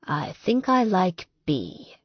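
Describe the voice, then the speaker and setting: A woman's voice: fairly low pitch, sing-song, slow, slightly rough, dry recording, exaggerated voice. A contrite but determined male lead in an audiobook romance, played by a woman narrator. He coaxes earnestly and takes his time. The sound is dry and slightly muffled. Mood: relieved, playful. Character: adult man, contrite, determined, teasing romance hero.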